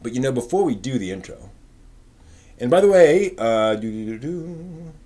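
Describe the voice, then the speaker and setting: A man with a medium-pitched voice hosts a live stream. He talks casually and informally, as if chatting directly with viewers, rambling a little and thinking aloud as he goes. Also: expressive, normal speed, mellow.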